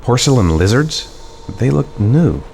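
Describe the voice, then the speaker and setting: A man's voice: medium pitch, big pitch swings, takes it slowly, creaky, a little mellow, slight background noise, heavily acted. An actor playing a weak, injured father who mutters to himself in an inner monologue while searching a place. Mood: weary. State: weak and in pain. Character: weary, injured father searching for his son. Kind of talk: inner monologue in a video game.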